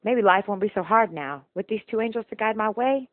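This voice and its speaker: A dull, lo-fi and fairly dry recording of a woman at an ordinary mid pitch. She recites slam poetry with emotional force, leaning on the rhythm and rhymes of each line. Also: expressive, fairly quick, no room sound. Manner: emotional, rhythmic rhyming delivery. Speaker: slam poet.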